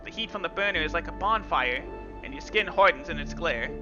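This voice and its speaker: A man's voice: on the high side, animated, a little fast. A man hosting a gaming video, reading game text dramatically with casual asides. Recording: dull lo-fi sound with game music.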